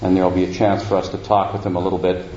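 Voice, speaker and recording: A man hosting an evening university event gives a formal, halting introduction full of "uh" and "um". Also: low voice, level tone, dark tone, slight background noise. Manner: halting, with many uh and um fillers. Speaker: event host introducing a speaker. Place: university lecture room. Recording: roomy, dull lecture-hall recording.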